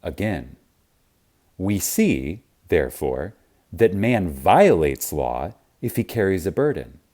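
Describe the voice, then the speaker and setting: A man with a low, deep voice narrates a spiritual teaching audiobook. He reads very slowly and reverently, with deliberate pauses. His pitch moves widely as he stresses key words for emphasis. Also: big pitch swings, mellow.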